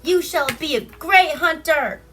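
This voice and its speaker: A woman's voice: sits high, a little slow, pushed and bright, faint music behind, pitched above the performer's own voice. A woman voicing a timid, pleading male raven bargaining in a folk tale. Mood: solemn promise.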